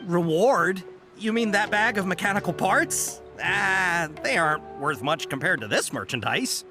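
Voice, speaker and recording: A man's voice: high voice, expressive, unhurried. A man voicing a generous, grateful male merchant in a game, effusive and full of thanks. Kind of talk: game dialogue voice acting. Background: game music.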